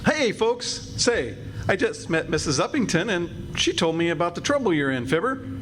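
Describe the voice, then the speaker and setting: A man's voice: high voice, big pitch swings, normal speed, a bit bright, soft background music. A man playing a breezy, self-assured friend and amateur hypnotist in an old-time radio comedy. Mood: breezy, confident. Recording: noisy live stage recording.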